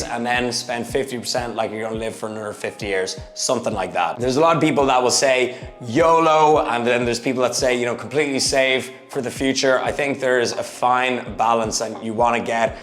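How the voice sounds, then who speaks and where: Man, thirties, upbeat motivational vlogger; roomy echo, soft music bed. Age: 30s. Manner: energetic, emphatic, swings from loud bursts to low asides. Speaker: fitness YouTuber. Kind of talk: motivational vlog monologue. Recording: echoey room with faint music. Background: faint background music.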